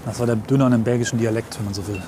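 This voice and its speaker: A man's voice: medium pitch, fairly even intonation, very fast. A man chatting casually as a podcast co-host while sightseeing on foot, easygoing and positive about what he sees. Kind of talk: casual chat and sightseeing commentary on the move. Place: outdoors on city streets, walking. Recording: clear outdoor city and traffic noise. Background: street ambience, vehicles.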